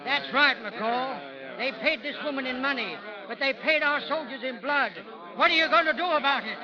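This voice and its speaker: An actor playing an accusing frontier townsman in an old radio drama, loud and indignant. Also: very high voice, moderate pace. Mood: angry. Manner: loud, indignant Western talk. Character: angry, accusing frontier townsman stirring a mob. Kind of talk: 1930s-40s radio drama acting. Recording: muffled vintage radio recording, crowd behind.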